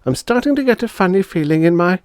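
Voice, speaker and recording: A man's voice: high voice, expressive, quick pace, a little mellow, clean tone, no room sound, studio quality, pitched above the performer's own voice. A man voicing an eager, whiny little girl, a kid sister in an audiobook story. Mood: excited, curious. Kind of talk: audiobook character voice.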